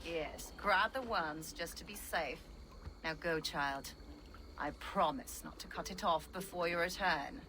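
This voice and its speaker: An actress playing a stern, commanding middle-aged village healer in a video game. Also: female voice, lowish voice, unhurried, bright, forceful tone, rough recording. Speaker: game character. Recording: game audio with background noise.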